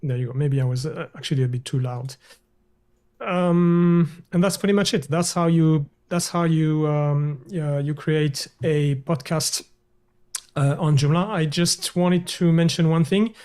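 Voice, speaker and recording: A man, a guest developer, walking through a screen-share software demo over a video call, hesitant with many fillers and restarts. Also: on the high side, fairly even intonation, unhurried.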